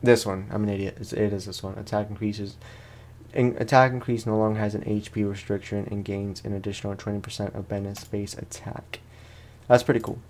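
A man's voice: lowish voice, level tone, a little fast, a little mellow. A man giving casual let's play commentary as a gaming host, in a rambling, slangy, self-deprecating way.

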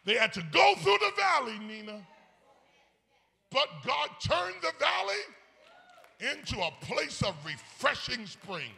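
A man's voice: very high-pitched, big pitch swings, slow, gravelly, pauses often, sharp tone. An older man preaching a sermon, chanting and whooping, calling on the congregation to answer back. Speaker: pastor. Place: church sanctuary.

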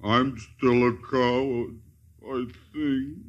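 Man as a slow, timid cowardly lion. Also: medium pitch, steady tone, natural voice. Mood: doleful. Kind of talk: 1950s radio drama acting. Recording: dull vintage radio broadcast.

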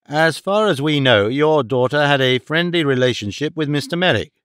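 A man's voice: fairly high pitch, lively intonation, moderate pace, a bit bright, smooth, dry recording, studio quality. A man playing a confident, courteous detective in an audiobook, formally polite and probing. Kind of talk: audiobook character dialogue.

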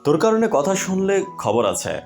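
Man acting a brash, grumbling husband, swooping in pitch. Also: wildly swooping pitch, normal speed, a little mellow, faint music behind, exaggerated voice. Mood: defensive, grumbling. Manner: complaining, sarcastic, theatrical. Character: brash, grumbling young husband and father, indignant and dramatic. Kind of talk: audio story character acting.

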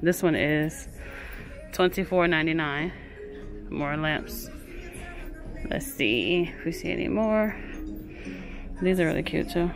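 Woman vlogger, low voice, chatty store walk-through on a phone, music playing behind. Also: takes it slowly, gravelly, long pauses. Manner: chatty, keeps calling things cute, reads prices aloud. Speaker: shopping vlogger. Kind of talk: casual shop-with-me narration. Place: inside a large home goods store. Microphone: handheld phone camera.

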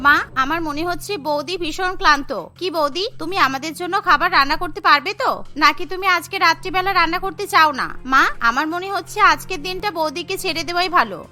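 A woman's voice: very high-pitched, quick pace, sharp tone, faint music behind, heavily acted. A woman voicing a girlish, cheeky and complaining young sister-in-law in a cartoon. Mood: concerned, impatient. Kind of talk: cartoon voice acting.

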